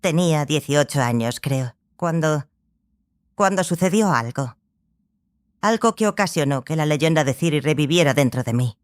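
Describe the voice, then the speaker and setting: Grave, measured scholarly sorceress. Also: female voice, sits low, lively intonation. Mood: reflective. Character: stern, obsessive scholarly sorceress, the Lady of the Lake. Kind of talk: dramatized audiobook dialogue.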